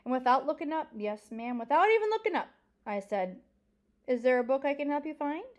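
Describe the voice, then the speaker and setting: Woman voicing a dramatic, high-pitched elderly lady librarian. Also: lively intonation, darkish tone. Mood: proud, dramatic. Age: older. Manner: emphatic, drawn-out key words, folksy repetitions. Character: elderly Southern lady librarian, proud, dramatic, wistful storyteller.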